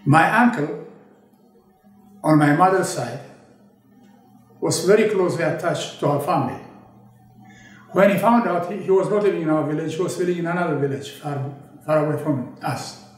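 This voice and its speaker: A man in his eighties from Ethiopia, slowly and thoughtfully recalling his childhood in an oral history interview. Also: on the high side, faint music behind. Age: 80s. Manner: slow, measured, reflective, with pauses and restarts. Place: indoor studio with a draped backdrop. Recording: very echoey room, voice from a distance.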